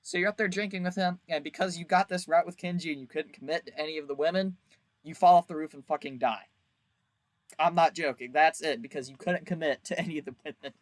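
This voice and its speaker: Man with slightly high voice, crude casual reviewer. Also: fairly even intonation, fast, no room sound. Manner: crude, profane, jokey and exaggerated. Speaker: video host reviewing a game. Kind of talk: casual, rambling review commentary.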